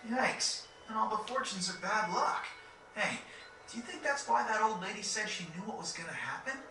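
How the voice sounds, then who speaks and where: A man playing a brash, excitable young teenage boy in a video game cutscene, speaking bluntly and casually. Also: high-pitched, normal speed, echoey room, distant mic, a little hiss or hum, heavily acted, low-quality recording.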